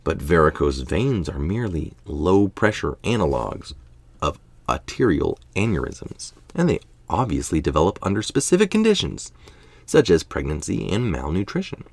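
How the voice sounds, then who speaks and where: Casting: tired man, middle-aged, low creaky voice, informal article reader who drops in offhand remarks. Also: big pitch swings, normal speed, no room sound. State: tired late at night. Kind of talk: reading an article aloud with casual asides.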